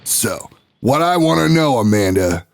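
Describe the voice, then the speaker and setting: Slow, swaggering talk show host. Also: male voice, mid-range voice, sing-song, vocal fry, music in the background. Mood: unsettled, dramatic. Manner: folksy, swaggering, dramatic pauses and sighs. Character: brash male talk show host telling an investigative story. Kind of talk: scripted first-person narration in an audio drama.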